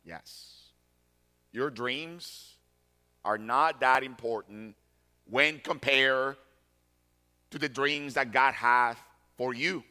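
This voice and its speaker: Pastor, male, 40s; bright, forceful tone, emphatic repetition. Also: medium pitch, smooth. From Colombia. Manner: deliberate, emphatic repetition of key phrases. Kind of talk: sermon.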